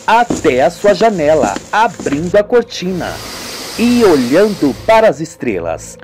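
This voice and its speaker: A woman narrating a radio soap opera in a dramatic, scripted storytelling style. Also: low voice. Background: music.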